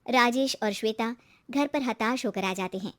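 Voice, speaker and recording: A woman's voice: on the high side, moderate pace, fairly bright tone. A woman reading scripted narration for a cartoon moral story.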